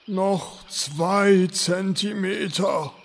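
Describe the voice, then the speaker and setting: Gravelly, high-pitched grumpy turtle. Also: male voice, extremely slow, slight background noise, full character voice. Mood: straining. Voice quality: gravelly, raspy. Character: grumpy, sarcastic, very slow male turtle mount. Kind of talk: comedic fantasy radio play acting.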